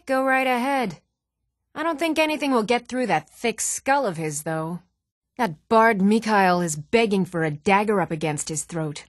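A woman's voice: mid-range voice, dry recording, clean studio sound, lightly acted. A woman playing a proud, self-reliant townswoman in a fantasy video game, assertive and scornful.

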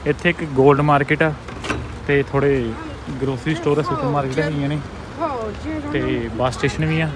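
A man's voice: on the high side, steady tone, moderate pace, long pauses, a crowd in the background. A young man from India with a Punjabi accent, chatting casually as a travel vlogger while walking and showing things around. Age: young adult. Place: outdoor city markets and streets. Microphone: handheld camera or phone at arm's length. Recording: noisy outdoor street and traffic sound.

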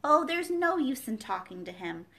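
Woman voicing polite young Alice, higher-pitched. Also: sing-song, moderate pace, bright, forceful tone, exaggerated voice. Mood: exasperated. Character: curious, polite young girl Alice, easily exasperated.

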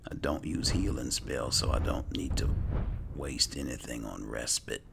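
A man's voice: a bass voice, unhurried, gravelly, background noise. A man narrating his roleplay gameplay, musing aloud in a hesitant mutter that often trails off. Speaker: gaming host roleplaying his character. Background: music.

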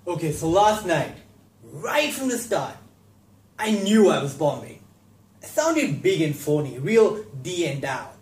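A man plays an anxious young stage actor confessing his doubts in a high, widely swinging voice. He is halting and self-deprecating, then bursts with intensity, all in an echoey room. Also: very animated, darkish tone. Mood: agitated, embarrassed. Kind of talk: theatrical monologue.

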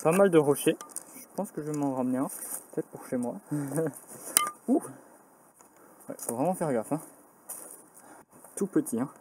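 A man's voice: a little high, animated, unhurried, pauses often. A young man casually narrating his cycling trip to a handheld camera, chatty and self-mocking with many fillers, a bit tired. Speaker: cycling vlogger. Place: outdoors in a village and a forest, inside a small bunker. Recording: outdoor camera audio with clear ambient noise.

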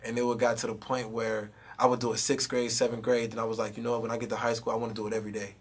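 Husky laid-back young rapper. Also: male voice, medium pitch, little pitch movement, very fast. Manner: laid-back, repeats "you know what I mean". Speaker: rapper guest. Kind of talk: casual interview chat. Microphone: handheld mic.

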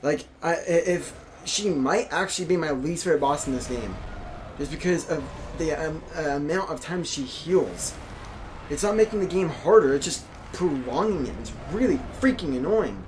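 A man's voice: on the high side, animated, moderate pace, poor audio. A man giving casual, grumbling, sarcastic let's play commentary as he plays a video game. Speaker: gaming video host playing a boss fight. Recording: clear background game noise. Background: video game sound.

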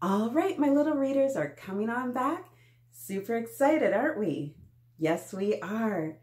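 Slow-talking animated woman, children's story host. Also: fairly high pitch, a little hiss or hum. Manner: animated, exaggerated, sing-song talk to small children. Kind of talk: read-aloud for young children. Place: small indoor room with painted mural.

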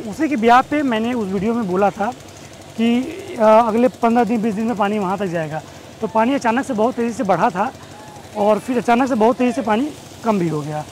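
A man, explaining things as a travel guide to camera, with pauses and English words mixed in. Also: very high-pitched. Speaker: tour company host and guide. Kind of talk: informational travel vlog to camera. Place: outdoors on open riverside steps. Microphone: clip-on wireless lapel mic. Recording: noisy outdoor ambience, distant engines.

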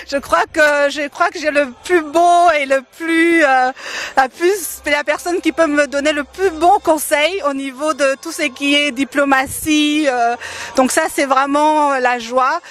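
A woman's voice: high voice, fairly even intonation, a little slow. A woman from Congo in her thirties, answering interview questions with many hesitations and frequent laughs, speaking into a handheld mic held by the interviewer. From Democratic Republic of the Congo. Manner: hesitant, many fillers, warm laughs between phrases. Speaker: interview guest, event organizer. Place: decorated holiday light display, indoors.

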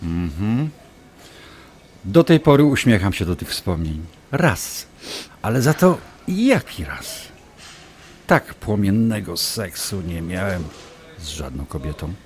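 An actor playing a smug, obsessive doctor in an audio drama, taunting and insinuating as a manipulative ex-lover. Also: male voice, low-pitched, big pitch swings, slow pace, heavily acted. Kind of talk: audio drama acting, dialogue.

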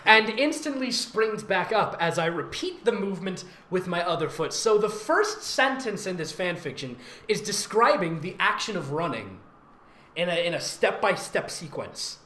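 Animated, bright-voiced sarcastic comedy reader. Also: medium pitch, normal speed. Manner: theatrical, sarcastic, giggly. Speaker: fanfic reader and voice actor hosting a reading. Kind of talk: mocking comedic read-aloud with running commentary. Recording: roomy echo, faint background noise.

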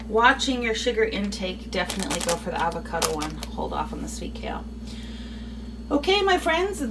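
A woman's voice: medium pitch, far from the mic, noisy background. A woman chatting casually to camera in her home kitchen as she shows the food she prepared for the week. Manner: chatty, relaxed, with fillers and asides. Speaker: vlogger showing her meal prep.

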